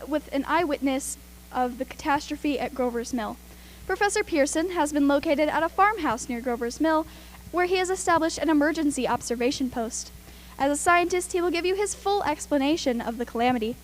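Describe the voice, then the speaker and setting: A woman's voice: high-pitched, slight background noise, lightly acted. A woman playing an earnest radio news announcer reading emergency bulletins formally in a radio play.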